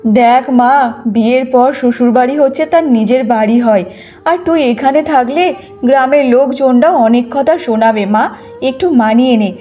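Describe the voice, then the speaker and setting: A woman's voice: high-pitched, moderate pace, dark tone, faint music behind, lightly acted. A woman voicing a concerned older village mother in a cartoon, soothing and advising her daughter. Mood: coaxing. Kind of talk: Bengali moral-story cartoon dubbing.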